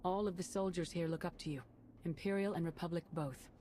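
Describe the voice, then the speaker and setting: A woman's voice: on the low side, steady tone, brisk, mellow, a little hiss or hum, natural voice. An actress playing a composed, earnest female Jedi leader in a video game. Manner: earnest and pleading.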